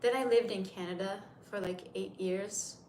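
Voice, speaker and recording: A woman's voice: medium pitch, fairly even intonation, moderate pace, darkish tone. A 30-year-old woman from Turkey, a native Turkish speaker, hosts a casual livestream in English, rambling chattily as she answers viewers. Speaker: livestream host. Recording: echoey room with faint noise.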